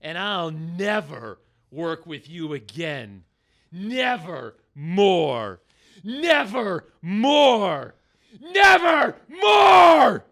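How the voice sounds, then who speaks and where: Exasperated melodramatic thespian male actor, audio comedy, unhurried declamation, very animated. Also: sits high, creaky, exaggerated voice. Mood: outraged. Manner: declamatory, indignant. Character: exasperated, indignant actor who recites poems, grandly melodramatic. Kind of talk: comedic radio play acting.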